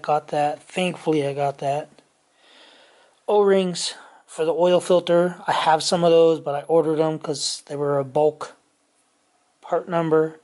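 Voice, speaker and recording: A man casually talking through motorcycle parts in his home garage, rambling with many pauses and fillers, recorded on a handheld camera. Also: fairly high pitch, darkish tone. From California. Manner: rambling, many ums and restarts. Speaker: motorcycle vlogger. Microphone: handheld camera mic, off-screen.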